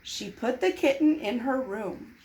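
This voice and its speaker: A woman with a high, very animated voice reads a children's story aloud. She takes her time over each simple sentence and dramatizes it for young listeners. Some background noise sits behind her. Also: unhurried, rough recording. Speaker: storybook reader. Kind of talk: expressive children's read-aloud.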